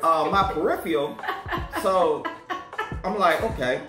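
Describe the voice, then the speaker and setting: A man in his thirties co-hosting a couple's vlog, joking and telling stories in relaxed, colloquial speech at a desk microphone in a small room at home. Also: on the high side, measured pace, over loud music. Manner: laid-back, joking, colloquial grammar. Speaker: co-host of a married couple's vlog. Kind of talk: casual chat and storytelling. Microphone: desk condenser mic, wearing headphones. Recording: echoey room.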